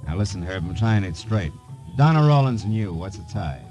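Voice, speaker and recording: An actor playing a wry, persistent private eye in 1950s radio noir, clipped and slangy, on an old recording. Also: male voice, lowish voice, animated, a little fast, darkish tone, dull audio, faint music behind, natural voice. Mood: pressing. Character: hard-boiled male private eye, wry, persistent, fast-talking. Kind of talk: 1950s radio noir acting, first-person narration and dialogue.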